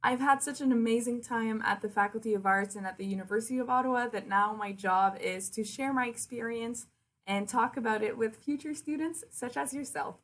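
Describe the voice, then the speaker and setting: Casting: woman, twenties, French Canadian accent, native French speaker, fairly high voice, friendly presenter addressing an online audience directly. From Quebec City, Canada. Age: 20s. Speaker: university liaison officer, presenter. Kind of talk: virtual open house presentation.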